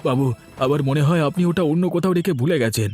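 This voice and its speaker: A man's voice: a little high, expressive, fast talker, darkish tone, natural voice. A man voicing an honest, humble shop worker in a cartoon, earnest and aggrieved as if wrongly accused. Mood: pleading, hurt. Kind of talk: animated cartoon dialogue. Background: music.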